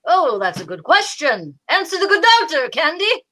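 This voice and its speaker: A fussy, superstitious male sidekick acted by a woman in an old-time radio mystery. The voice is high and bright, swooping through arch, theatrical, droll lines. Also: big pitch swings, moderate pace, exaggerated voice. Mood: nervous, arch.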